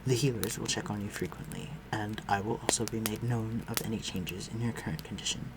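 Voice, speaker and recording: Over a clearly audible bed of ambient noise, a man acts out a courtly vampire lord in an audio drama. His voice sits low, and he speaks in formal, old-fashioned phrases with a gentle, protective care for the human he addresses. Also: steady tone, fairly quick, close to an everyday voice. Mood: calm, caring. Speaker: voice actor. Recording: clearly noisy, ambient sound behind voice.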